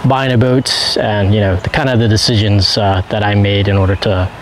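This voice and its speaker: A man in his thirties, a channel host, casually walking viewers around a boat outdoors, talking into a handheld camera with relaxed fillers. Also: lowish voice, normal speed, slight background noise. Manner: relaxed, talks to viewers with fillers while pointing things out. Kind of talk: casual walkthrough vlog. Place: outdoors in a driveway beside a boat on a trailer. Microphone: handheld camera carried around, earlier on a tripod.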